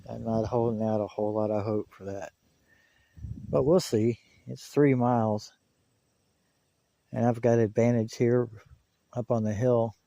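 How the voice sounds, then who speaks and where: A man's voice: medium pitch, fairly even intonation, measured pace, vocal fry, pauses often, mellow, no room sound, highs cut off. A man hosting a gear review, talking casually and in folksy, colloquial phrasing to camera and over a two-way radio. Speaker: video host reviewing walkie-talkies. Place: outdoors on a hill.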